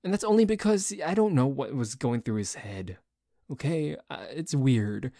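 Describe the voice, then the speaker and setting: A man playing a dorky, jealous tsundere boyfriend in an ASMR roleplay, bickering haltingly with a cocky streak. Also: mid-range voice, expressive, creaky, dark tone, no room sound, clean studio sound. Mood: grudging. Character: dorky, jealous, grumbling tsundere boyfriend who dislikes a cat.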